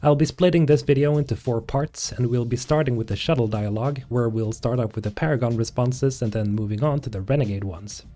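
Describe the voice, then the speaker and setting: A man's voice: medium pitch, fairly quick, few pauses, dark tone. A man hosting a gaming video with casual scripted narration. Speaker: video host. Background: background music.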